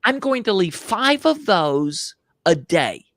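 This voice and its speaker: A man with a high voice for a man, telling stories as an interview guest with emphatic, animated delivery and frequent restarts. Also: takes it slowly, dry recording. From United States. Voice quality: higher-pitched male voice. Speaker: podcast guest. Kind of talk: enthusiastic interview storytelling.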